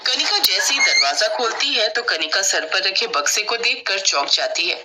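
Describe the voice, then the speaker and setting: A woman's voice: medium pitch, animated, moderate pace, very noisy. A woman narrating a scripted moral family story as a storyteller.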